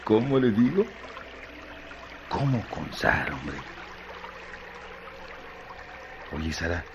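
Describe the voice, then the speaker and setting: A deep, brooding, domineering Mexican rancher. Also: male voice, big pitch swings, measured pace, lo-fi sound. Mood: hesitant. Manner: colloquial, brooding, commanding. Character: bored, scornful, domineering young rancher musing to himself. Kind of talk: radio drama acting. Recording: clearly noisy radio drama track.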